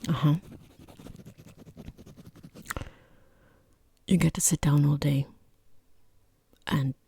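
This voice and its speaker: Woman acting breathy, half-whispering rude boss. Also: sits low, a little mellow, dry recording, heavily acted. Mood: awkward, disapproving. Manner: dry, sarcastic, hesitant politeness. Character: rude, condescending female boss interviewing a hapless job applicant. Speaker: job interviewer. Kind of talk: soft-spoken ASMR roleplay.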